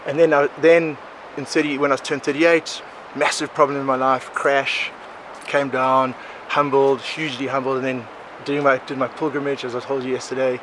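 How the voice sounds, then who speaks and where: Animated South African man telling stories outdoors. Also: medium pitch, normal speed, hardly any pauses. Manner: animated, rambling, laughs often. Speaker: podcast guest. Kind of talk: casual conversational storytelling. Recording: outdoor recording with clear background noise.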